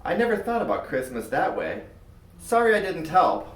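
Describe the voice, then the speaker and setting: A cool, casual little schoolgirl in a children's tale, put on by a male reader. The intonation is very lively. Room echo surrounds the voice, which sits back from the mic. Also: high-pitched, normal speed, pitched above the performer's own voice. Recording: roomy echo, far from mic.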